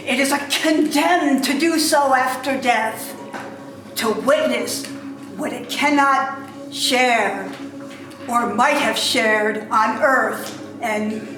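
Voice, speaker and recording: Woman acting slow, mournful chained ghost, high voice. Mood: solemn. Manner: slow, solemn declamation. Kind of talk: amateur dramatic reading. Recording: very echoey room, faint background noise.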